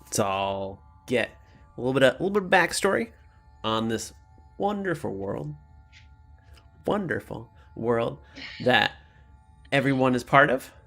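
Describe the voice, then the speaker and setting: A man running a tabletop game, telling the scene in a lively, up-and-down voice with a gravelly edge. Soft music plays under him. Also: mid-range voice, a little slow, pauses often. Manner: encouraging, easygoing. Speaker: game master.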